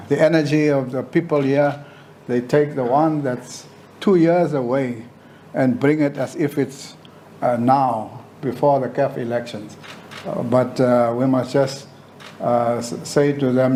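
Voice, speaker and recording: A man addresses a press conference as its chair. He speaks slowly and deliberately, often pausing mid-sentence. His pitch is average and there is faint background noise. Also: mellow. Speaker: football association official chairing a press conference.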